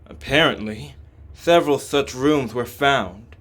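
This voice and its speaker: A man's voice: medium pitch, measured pace, a bit bright. A man reading translated game dialogue and narration aloud as a scripted playthrough. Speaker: reader voicing a game playthrough.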